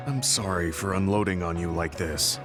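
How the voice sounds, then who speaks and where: A man playing a middle-aged, guilt-ridden veteran soldier in a video game, speaking in a measured, earnest way. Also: low voice, close to an everyday voice. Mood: sorrowful, guilty. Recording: studio voice under loud game music.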